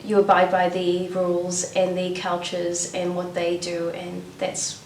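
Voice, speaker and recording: A young woman from South Africa, speaking in a calm, measured way in an interview. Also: lowish voice. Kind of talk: interview talk. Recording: echoey and distant, with faint noise.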